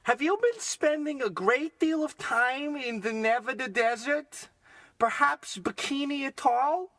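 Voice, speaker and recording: High-pitched, fumbling doctor acted by a man. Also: unhurried, fairly bright tone, no echo. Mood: inquisitive. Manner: hesitant, stammering, then grandly pronouncing. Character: fussy, fumbling, oddball male doctor. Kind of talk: parody of old radio drama acting.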